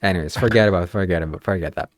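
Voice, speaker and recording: A man's voice: low voice, very fast, no room sound, studio quality. A young man from Ukraine chatting casually on a podcast, given to sarcastic jokes and asides. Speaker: podcast host.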